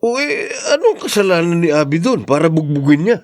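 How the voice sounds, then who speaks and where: A man's voice: fairly high pitch, very animated, brisk, no echo, clean studio sound. An actor playing a concerned, protective man in a radio drama, reasoning earnestly with his friends. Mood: shocked concern. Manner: earnest, questioning. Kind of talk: Tagalog radio drama acting.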